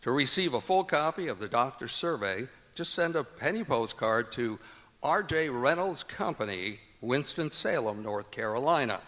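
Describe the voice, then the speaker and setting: Bright-voiced American radio announcer in a reverberant hall. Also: male voice, mid-range voice, animated, normal speed. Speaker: announcer and director of a stage radio play. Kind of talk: old-time radio announcer, scripted cigarette ad read. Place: performing arts auditorium stage, live performance. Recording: long hall reverb, music behind, live auditorium.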